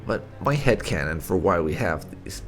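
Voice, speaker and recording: A man's voice: low voice, lively intonation, moderate pace, soft background music. A man hosting a gaming video, giving casual let's play commentary in a wry, chatty way.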